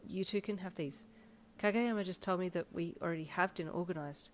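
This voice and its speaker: A woman's voice: lowish voice, brisk, a little hiss or hum, natural voice. A woman voicing a meek but cheerful young male athlete with an eager, bashful, easily flustered manner. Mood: meek, hesitant.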